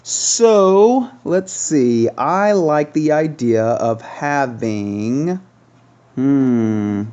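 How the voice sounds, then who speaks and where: A man hosting a gaming video with casual, chatty commentary, thinking aloud with trailing pauses. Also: medium pitch, expressive, dark tone, clean tone, dull audio.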